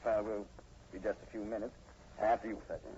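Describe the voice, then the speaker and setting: An actor playing a plainspoken, uneasy police sergeant, hesitant and laconic, in 1940s radio horror style. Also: male voice, fairly low pitch, little pitch movement, fast talker, mellow, lightly acted. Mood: matter-of-fact. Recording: muffled, noisy 1940s radio recording.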